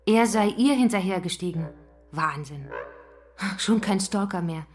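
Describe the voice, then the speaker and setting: A woman's voice: medium pitch, expressive, a little slow, darkish tone, faint music behind, close to an everyday voice. An actress playing a gossipy, brittle woman in a drama, talking in a clipped, sardonic, scornful way. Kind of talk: theatrical dialogue acting.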